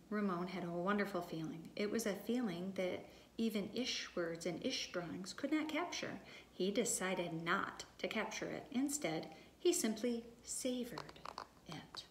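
A woman preschool teacher reading a story aloud to young children, expressive and deliberate, speaking to them directly. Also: mid-range voice. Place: empty classroom. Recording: echoey room with background noise.

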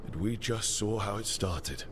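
A man voicing a calm, decisive party leader in a fantasy video game, measured and matter-of-fact. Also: lowish voice, noisy background, close to an everyday voice.

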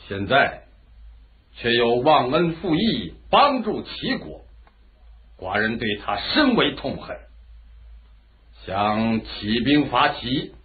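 Through a thin, phone-like recording comes a vengeful feudal lord of ancient China in a historical radio drama. He speaks slowly and imperiously, with sweeping theatrical swings of pitch. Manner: commanding, deliberate, theatrical.

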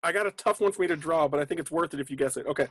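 A man's voice: a little high, racing, a bit bright, breathy edge, dry recording. A man in his thirties co-hosting a podcast with casual, playful chat from home. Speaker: podcast co-host. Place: home basement room.

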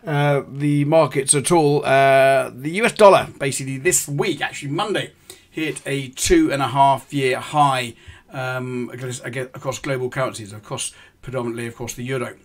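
A middle-aged man gives live commentary on currency markets to his stream viewers. He explains things step by step, pausing often on ums and starting sentences over. He sounds like he is in a small indoor room, speaking into a desk microphone. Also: mid-range voice. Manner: explanatory, halting with many ums and restarts. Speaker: forex trading host.

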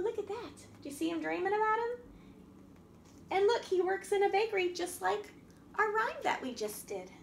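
Very high-pitched, animated woman storytime host. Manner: animated, sing-song, simple questions to small children. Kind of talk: children's storytime, reading and teaching. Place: small indoor room at a wooden table. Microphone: camera a few feet away. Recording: roomy echo, faint background noise.

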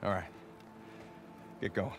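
An actor playing a brisk, teasing young patrol leader in a game, speaking with wry, matter-of-fact authority. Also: male voice, over music, close to an everyday voice. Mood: wry, instructing. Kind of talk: naturalistic game cutscene acting. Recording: clearly noisy outdoor game ambience.

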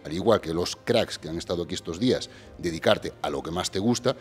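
A man's voice: deep voice, a little fast, breathy edge. A man in his thirties hosting, speaking straight to viewers with energy, holding a handheld mic in a large gym hall. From Galicia. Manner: energetic, direct address to viewers. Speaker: host. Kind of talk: presenter pitch and interview. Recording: reverberant hall with background music.

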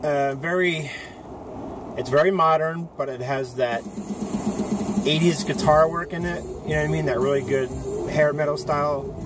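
A man's voice: on the high side, moderate pace, far from the mic. A middle-aged man chatting casually about his music in a parked car, rambling with lots of fillers and restarts. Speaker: music collector vlogger. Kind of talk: casual chat. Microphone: phone camera on the dashboard. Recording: car interior with loud outside noise, off-mic. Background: loud ambient noise from outside the car.

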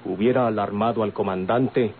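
On a tinny, faintly noisy old radio recording, an actor plays a careful Mexican man. His low voice reasons calmly and cautiously in radionovela style. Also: normal speed, lightly acted. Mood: cautious, explaining. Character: composed, cautious Mexican man advising confidentially. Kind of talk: old-time radionovela acting.

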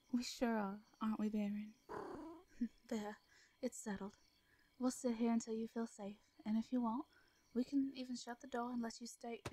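A woman voicing a gentle Southern farmer woman. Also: fairly high pitch, husky, no room sound. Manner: soft, stammering, frequent light laughs. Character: gentle hermit farmer woman, caring and shy. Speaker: voice actor in audio roleplay. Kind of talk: soft comforting audio roleplay.

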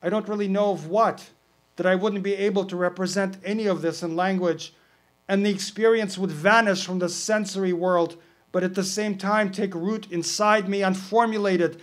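Pirate narrator, bold and brooding, high-pitched, as a man puts it on. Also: steady tone, normal speed, natural voice. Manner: loud, declaiming, long winding sentences.

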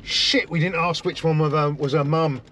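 A man's voice: a little high, steady tone, moderate pace, fairly bright tone, soft background music. A man in his forties hosting a prank show, bantering jokingly and then playing an officious repo agent. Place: inside a car and a showroom.

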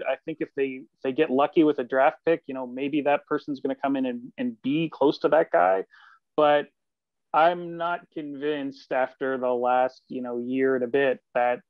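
A man's voice: medium pitch, dry recording. Man in his forties, guest sports analyst, conversational, dry sound, slightly dull call recording. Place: room at home, video call.

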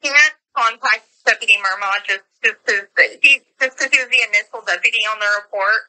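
A woman's voice: a little high, a little fast, sharp tone. An American woman handling an official phone call, explaining procedure politely as a sheriff's office call taker.

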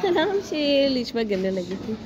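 A woman's voice: a little high, very animated, moderate pace. A woman filming a family shopping trip in a big indoor hypermarket on a handheld phone, calling after and coaxing small children. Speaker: vlogger and mother. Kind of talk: casual family vlog chatter. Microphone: handheld phone camera. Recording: loud store noise behind.

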